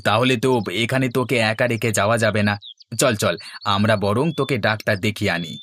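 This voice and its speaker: A man's voice: on the low side, fairly even intonation, fast talker, fairly bright tone, a little hiss or hum, close to an everyday voice. A man voicing a loyal, concerned village friend in a fairy-tale cartoon, caring and earnest.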